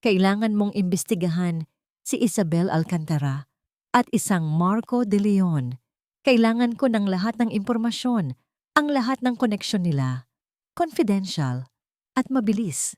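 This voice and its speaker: A woman's voice: mid-range voice, animated, normal speed, darkish tone, dry recording, voice pushed up, lightly acted. A betrayed rich father from an audio drama story, acted by a woman. He is intense, controlled and emphatic, recorded dry. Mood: urgent, determined. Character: betrayed wealthy father, anguished but determined.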